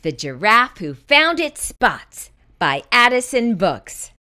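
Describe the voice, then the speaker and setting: A woman's voice: a little high, big pitch swings, takes it slowly, pushed and bright. A mature woman hosting a storytime, reading aloud expressively and addressing young children directly. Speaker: children's storytime host and reader. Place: small living room with bookshelves.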